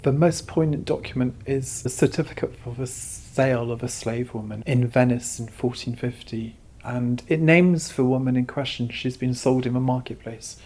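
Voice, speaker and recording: A man's voice: mid-range voice, moderate pace, hardly any pauses, darkish tone. A man interviewed as a curator, explaining things in an earnest, reflective way. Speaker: exhibition curator being interviewed.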